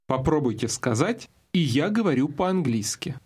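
Husky-voiced male course narrator. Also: fairly high pitch, sing-song, normal speed, a little mellow. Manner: clear, guiding prompts. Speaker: language course instructor. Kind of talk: scripted instruction.

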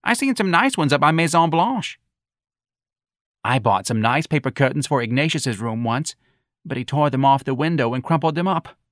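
A man voicing a timid, fretful older woman from New with a high, plaintive, ungrammatical way of talking. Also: animated, normal speed, a bit bright, dry recording, studio quality. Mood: gossiping.

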